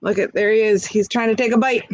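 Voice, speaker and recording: A woman reading a picture book aloud expressively, pausing to chat to young listeners with questions and asides. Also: a little high, steady tone, darkish tone. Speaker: storybook reader.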